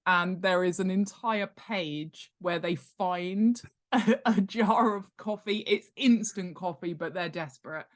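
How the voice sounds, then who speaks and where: A woman's voice: on the low side, takes it slowly. A middle-aged woman talking informally as an expert guest, explaining with emphasis and checking in with the listener. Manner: animated, emphatic, checks in with "right?" and "okay?". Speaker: guest author and world-building expert. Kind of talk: informal explanatory interview talk.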